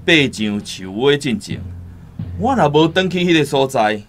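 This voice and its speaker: A man's voice: fairly high pitch, expressive, moderate pace, soft background music, close to an everyday voice. Actor as defiant young Taiwanese rebel man. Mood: defiant, threatening. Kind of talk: Taiwanese radio drama acting.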